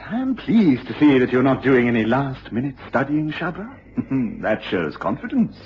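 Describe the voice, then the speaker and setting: An actor playing a fussy, anxious, apologetic English college tutor who stammers and hesitates, in an old radio drama. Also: male voice, medium pitch, sing-song, normal speed, close to an everyday voice. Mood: polite. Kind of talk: 1950s-60s British radio drama acting. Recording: dull, hissy old radio recording.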